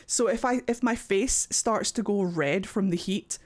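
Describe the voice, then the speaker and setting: A man's voice: high voice, measured pace. Upbeat Scottish guy reacting to clips. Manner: animated, encouraging, swears casually. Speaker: YouTube reaction host. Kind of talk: casual reaction commentary. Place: warm home office.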